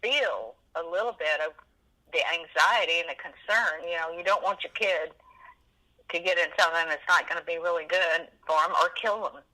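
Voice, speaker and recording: A woman in her seventies reminiscing as an interview guest, animated and emphatic, often rambling and repeating herself. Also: on the low side, moderate pace, rough recording. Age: 70s.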